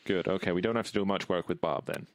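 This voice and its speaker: A man's voice: low-pitched, fairly even intonation, quick pace, no echo, lightly acted. A man playing a polite, wry fixer for hire in a tabletop game, speaking casually and diplomatically. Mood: wry, diplomatic. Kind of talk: improvised role-play in a tabletop game.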